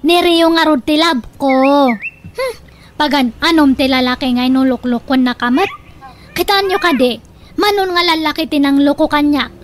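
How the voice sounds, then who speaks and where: A lovestruck young daughter acted by a woman in a radio comedy drama, speaking in a high voice. She coaxes and pleads, ready to stand up to her mother, over a faintly noisy recording. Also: normal speed, close to an everyday voice. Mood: pleading, lovestruck. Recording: faint background noise.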